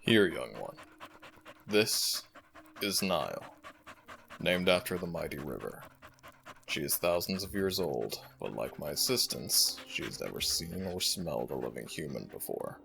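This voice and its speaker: A man voicing an ancient jackal-headed god in an ASMR roleplay, gravelly and husky, speaking in stately, affectionate, gently teasing phrases to one listener. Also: extremely low pitch, very animated, a little slow, a little hiss or hum, full character voice. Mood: solemn, gentle. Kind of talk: ASMR roleplay audio drama.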